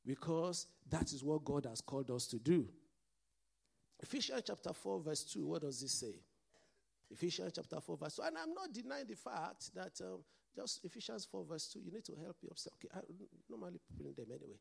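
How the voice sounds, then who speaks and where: A man's voice: on the high side, big pitch swings, brisk, vocal fry, breathy edge. A man with a mixed Nigerian and Scottish accent, preaching a sermon as pastor and calling out to the congregation for responses.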